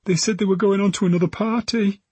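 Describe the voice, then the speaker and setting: Audiobook teenage girl, hurt, scared but defiant, played by a male narrator, pitched high with a very husky edge. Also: fairly even intonation, quick pace, a little mellow, dry recording. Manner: sullen, wary. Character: frightened, defiant injured teenage girl witness. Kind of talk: audiobook character voice.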